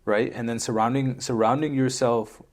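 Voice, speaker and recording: A man of middling pitch hosts a podcast in easygoing conversation. His talk is loose and full of fillers, and he keeps checking in with his co-host as he goes. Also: fairly even intonation, normal speed. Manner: conversational, leans on "like" and "right?", repeats words. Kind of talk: casual podcast chat.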